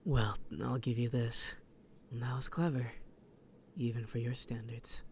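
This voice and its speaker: An actor playing a sly serial killer villain in an audio drama, taunting and theatrical, with a breathy voice that often drops to a whisper. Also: medium pitch, little pitch movement, darkish tone, a little hiss or hum, close to an everyday voice. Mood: smug, taunting. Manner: taunting, theatrical, slow and menacing. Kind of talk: audio roleplay drama acting.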